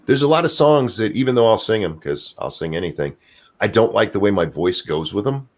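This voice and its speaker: A man with a very deep, low voice that swings widely up and down in pitch, talking loosely on a livestream. He rambles, swears casually and trails off while he searches for names and titles. His tone is expressive and animated. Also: fairly quick. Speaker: podcast co-host.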